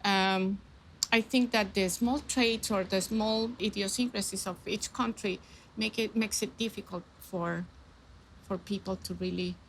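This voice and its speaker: A woman's voice: medium pitch, steady tone, measured pace, slight background noise, rough recording. A woman speaking earnestly in a documentary interview, often repeating words as she searches for them.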